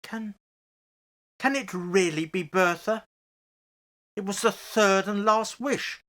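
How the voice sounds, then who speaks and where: A man's voice: sits high, slow pace, a bit bright. A man playing a fearful older husband in a radio horror play, agitated, hesitant and pleading. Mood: hesitant, disbelieving.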